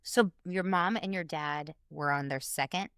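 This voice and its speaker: A woman with a somewhat low voice hosts a podcast, asking lively, curious questions. The sound is dry and close to the mic. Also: a little slow, clean tone, dry recording. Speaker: podcast co-host. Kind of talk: casual interview.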